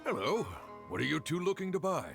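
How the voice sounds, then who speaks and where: A man playing a shady, businesslike market trader in a video game, with a husky voice. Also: high-pitched, big pitch swings, fairly quick, over music, full character voice. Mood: guarded, businesslike.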